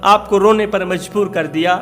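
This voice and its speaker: A man's voice: high voice, fairly even intonation, moderate pace, fairly bright tone, faint music behind. A man voicing an anxious, devoted wife in a sermon story, pleading repeatedly with her husband. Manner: pleading, repetitive.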